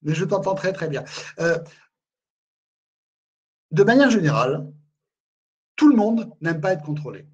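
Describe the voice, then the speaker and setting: A man's voice: on the high side, sing-song, a little slow, pauses often, a little mellow, husky, highs cut off. A man presenting a management webinar on a video call, emphatic, repeating words and often tagging on "en fait, hein". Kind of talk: live webinar talk on management.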